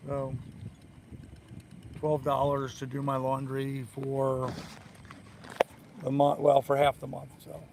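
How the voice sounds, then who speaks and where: An older man with a hoarse voice, hosting a rambling, casual live stream in a halting, repetitive way. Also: mid-range voice, completely flat delivery. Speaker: live-stream host of a sailing channel. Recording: loud wind and boat noise.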